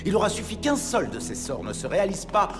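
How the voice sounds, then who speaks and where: A man's voice: sits high, sing-song, quick pace, big-room sound, music in the background. A man playing an earnest young ruler in a cartoon, speaking formally and with firm authority. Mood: stern, resolute. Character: noble young prince turned sovereign, earnest and firm. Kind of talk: French-language cartoon dubbing.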